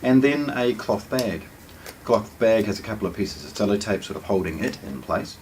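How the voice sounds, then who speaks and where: A man giving a casual hands-on product unboxing commentary, explaining things with hesitant pauses between phrases. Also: lowish voice, lively intonation, darkish tone, slight background noise. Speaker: product presenter.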